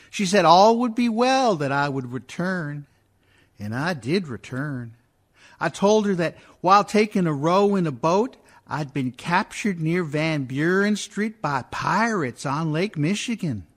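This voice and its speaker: A man playing a dead husband who wryly and wearily recounts his marriage in a theatrical radio-play monologue. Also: on the high side.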